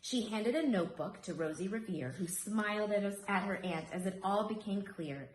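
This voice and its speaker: A woman reading a rhyming children's story aloud in an expressive, dramatic storytelling manner. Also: mid-range voice, normal speed. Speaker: storybook reader. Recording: roomy echo, faint background noise.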